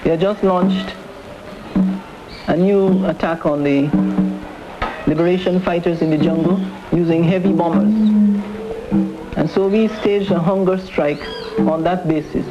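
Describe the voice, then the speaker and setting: A person speaking reflectively and haltingly in a documentary interview, explaining and recalling political activism, on an old film soundtrack. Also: medium pitch, steady tone, over music. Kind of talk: spoken reminiscence in a documentary interview. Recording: dull 1970s film sound, faint noise.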